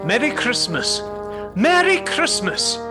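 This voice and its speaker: A man's voice: sits high, animated, a little slow. A man playing a repentant old miser in a radio play, emotional and theatrical, often repeating his words. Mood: delighted, eager. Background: music.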